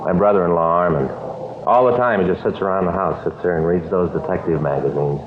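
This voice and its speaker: Put-upon American detective partner played by a man, low, folksy, complaining, noisy vintage radio drama sound. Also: a little fast, lightly acted. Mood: weary, exasperated. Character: grumbling, put-upon married male police detective partner. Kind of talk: 1950s radio drama acting. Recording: muffled, noisy old radio broadcast.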